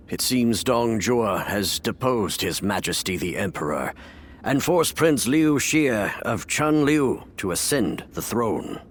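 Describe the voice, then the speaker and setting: An actor playing a grave, remorseful imperial official and adoptive father in a video game, with measured, formal delivery. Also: on the low side, slight background noise. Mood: grave, troubled. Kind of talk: video game cutscene acting.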